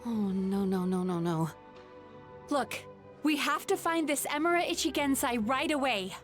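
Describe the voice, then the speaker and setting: A woman's voice: sits high, lively intonation, a little slow. A woman voicing a caring, composed young female therapist in an anime game, articulate and polite but firm. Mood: urgent. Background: faint background music.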